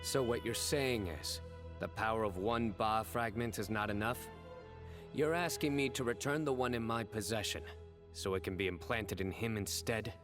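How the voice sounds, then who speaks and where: A man playing a calm, reserved young judge. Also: on the low side, moderate pace, over music, lightly acted. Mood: serious. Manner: calm, restrained, serious.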